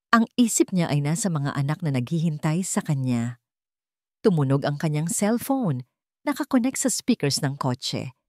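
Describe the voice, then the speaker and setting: Low-pitched woman narrating a drama. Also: a little mellow, no room sound, studio quality. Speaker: story narrator. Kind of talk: dramatic scripted narration of a Tagalog love story.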